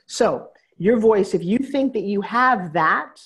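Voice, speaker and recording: A woman business coach on stage at a live seminar, teasing and bossing a guest with quick interruptions. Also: medium pitch, animated. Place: event stage with audience.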